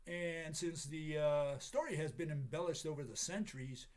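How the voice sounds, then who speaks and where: Raspy-voiced man lecturing through a cold. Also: on the high side. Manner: halting, many fillers, chuckles at himself. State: hoarse from a cold. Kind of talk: casual livestream lecture.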